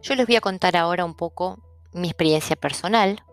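A middle-aged woman from Argentina with an Argentine accent, calmly explaining things in a personal talk. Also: lowish voice, a little fast, clear, resonant tone, faint music behind. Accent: Argentine Spanish. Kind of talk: personal talk on stuttering and languages.

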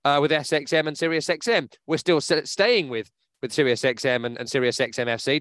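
A man's voice: mid-range voice, fairly bright tone, smooth, dry recording. A man hosting a football podcast, talking in a chatty, upbeat way with many fillers. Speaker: podcast host. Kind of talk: casual podcast chat. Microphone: remote podcast mic.

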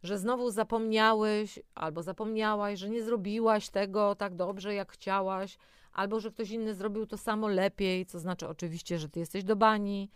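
Woman giving a clear, dry-miked motivational talk. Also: mid-range voice, fairly even intonation, normal speed, no echo. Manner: encouraging, addresses the listener directly. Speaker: presenter of a self-help audio program. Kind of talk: motivational talk, partly scripted with spontaneous asides.

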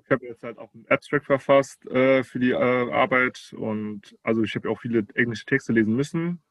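Young man, relaxed and slangy, hesitant at times, dry close sound. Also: mid-range voice, normal speed, no echo. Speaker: prospective doctoral candidate. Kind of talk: casual conversation.

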